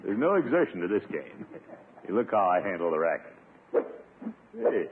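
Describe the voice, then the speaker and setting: An actor playing a pompous, blustering middle-aged American uncle in a 1950s radio comedy. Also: male voice, sits low, big pitch swings, normal speed, heavy background noise. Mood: smug, confident. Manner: pompous, blustering, self-satisfied chuckles. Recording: narrow, tinny 1950s radio recording.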